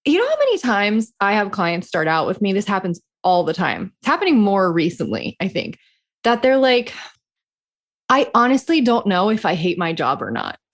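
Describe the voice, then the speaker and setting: A woman's voice: mid-range voice, huge pitch swings, normal speed. A woman co-hosting a podcast as a coach, chatting casually in a frank, emphatic way. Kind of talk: casual chat between co-hosts.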